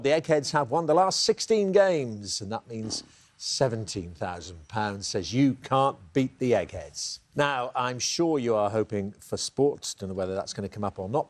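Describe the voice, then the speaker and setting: A man hosting a TV quiz show, chatty and teasing as he reads the questions. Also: mid-range voice. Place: TV studio.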